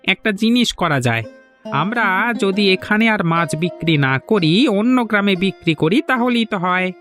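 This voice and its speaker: High-voiced henpecked fisherman played by a man. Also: lively intonation, unhurried, a bit bright, soft background music. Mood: grumbling, plaintive. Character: henpecked village fisherman, nagging but agreeable sidekick. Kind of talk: animated folk-tale dialogue.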